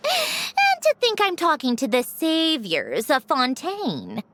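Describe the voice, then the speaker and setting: A woman's voice: high voice, wildly swooping pitch, takes it slowly, heavy background noise, heavily acted. A woman playing a proud, sardonic young villainess in a video game, with a dry, condescending, theatrical delivery. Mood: scornful, smug. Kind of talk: video game character dialogue.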